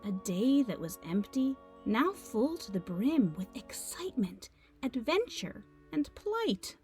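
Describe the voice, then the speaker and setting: A woman narrating a children's read-aloud in an expressive, dramatic storytelling style. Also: on the high side, slow. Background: music.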